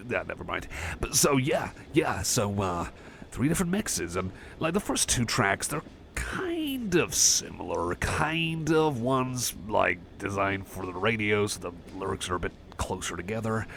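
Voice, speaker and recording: A man playing a shy, friendly cyborg music fan, chatting softly and hesitantly in a casual ASMR roleplay. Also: deep voice, vocal fry, a little hiss or hum, full character voice. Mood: bashful, rambling. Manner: hesitant, rambling, self-effacing.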